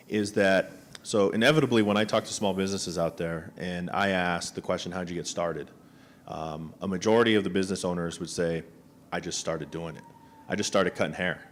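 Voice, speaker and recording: Guy with a really low voice talking on a business panel, lots of ums and restarts. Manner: conversational, with many fillers and restarts. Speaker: small business agency official on a panel. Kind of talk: panel discussion remarks. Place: conference room panel.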